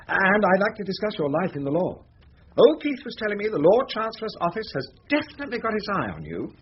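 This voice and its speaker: A smarmy, middle-aged upper-class English barrister for radio, pompous and eager to flatter. His lightish voice swoops extravagantly as he gushes. The recording is a little dull. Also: high-pitched, husky, full character voice. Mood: coaxing, flattering. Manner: oily, gushing, drawn-out flattery. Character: flirtatious, pompous middle-aged male barrister, smooth and anxious. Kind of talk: British radio comedy-drama acting.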